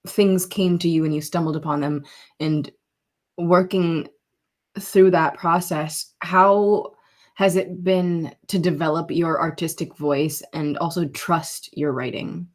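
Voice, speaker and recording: A woman's voice: low voice, fairly even intonation, takes it slowly. A woman hosting a podcast, interviewing in a casual, informal and affirming conversational way.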